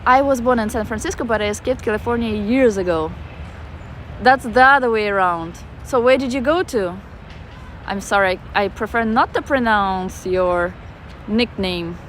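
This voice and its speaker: A woman's voice: medium pitch, moderate pace, fairly bright tone. Russian-accented woman livestreaming on a city walk, chatty and loose, faint traffic behind her. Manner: chatty, reads viewer comments aloud with fillers. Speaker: walking livestreamer. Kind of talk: casual live-stream chat reading comments. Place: outdoors in a city park.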